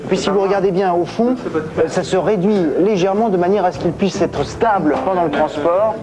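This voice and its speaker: A man's voice: high voice, brisk, poor audio. Frenchman presenting on location amid background chatter. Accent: French from France. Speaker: television presenter. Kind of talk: live-style documentary presenting, on location. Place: outdoors in African savanna.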